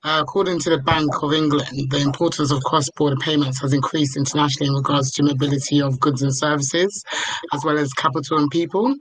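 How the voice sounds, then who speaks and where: A man speaking as a company founder, giving a business pitch on an online panel. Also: fairly even intonation, fast, husky, rough recording. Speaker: company founder and chief executive.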